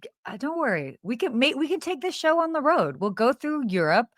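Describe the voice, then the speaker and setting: A woman co-hosting a podcast in casual live chat, with an animated, giggly, exclamatory way of talking. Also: high-pitched, fairly quick, dry recording, studio quality. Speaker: podcast co-host.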